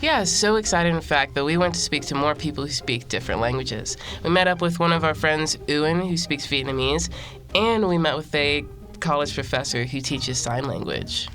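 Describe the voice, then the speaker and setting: A woman's voice: low voice, lively intonation, normal speed, a bit bright, soft background music. A woman co-hosting a podcast, introducing guests and asking interview questions with friendly, short affirmations. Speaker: podcast co-host.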